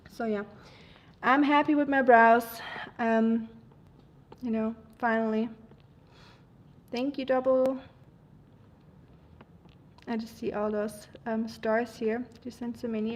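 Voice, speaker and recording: A woman's voice: on the high side, poor audio. A young woman from Germany, hosting a livestream and chatting frankly with viewers, with lots of ums and likes. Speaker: livestream host. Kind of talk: casual chat reading viewer comments.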